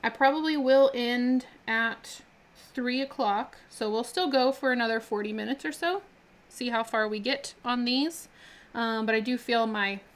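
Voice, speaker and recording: A woman chatting casually while she builds miniatures on a live stream, laughing often and talking with many fillers and restarts. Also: on the high side. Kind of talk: casual chat while crafting.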